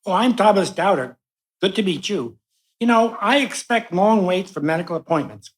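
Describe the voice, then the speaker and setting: A woman's voice: medium pitch, animated, moderate pace, close to an everyday voice. A woman playing an affable, wry male patient in a live radio play.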